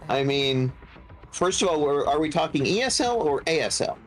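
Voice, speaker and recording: A man in his forties chatting casually and telling anecdotes with animated quoted voices. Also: a little high, normal speed, soft background music. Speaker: podcast guest. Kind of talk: casual chat.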